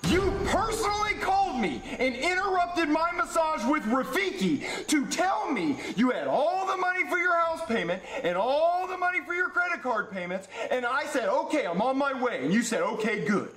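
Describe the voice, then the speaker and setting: A man's voice: extremely high pitch, very animated, moderate pace, few pauses, fairly bright tone, reverberant, a little hiss or hum, heavily acted, rough recording. A man voicing a crude, pompous, menacing debt collector in a comedic puppet show. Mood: smug, impatient. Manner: pompous, profane, bossy.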